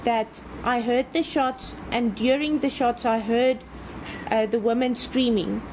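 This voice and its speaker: Measured female court interpreter. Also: a little high, fairly even intonation, darkish tone, slight background noise, low-quality recording. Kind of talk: consecutive interpretation.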